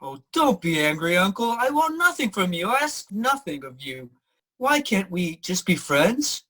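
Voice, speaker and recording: Man acting an animated, earnest young nephew. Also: high voice, measured pace, exaggerated voice. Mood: earnest, pleading. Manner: earnest, hearty, pleading. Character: cheerful, warm-hearted young nephew, earnest and forgiving. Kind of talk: theatrical radio play acting.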